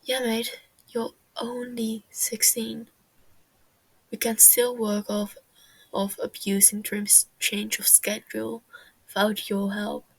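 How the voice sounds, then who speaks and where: A calm, fatherly man reassuring in a casual way, read by a woman. The delivery is slow and slightly husky, as in a storybook reading. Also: on the high side, dry recording, heavily acted, rough recording. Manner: casual, says mate.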